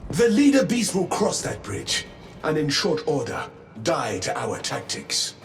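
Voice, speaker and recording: An actor voicing a grim, dutiful armored super-soldier who speaks formally and tersely. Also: male voice, on the high side, big pitch swings, unhurried, creaky, faint music behind, exaggerated voice.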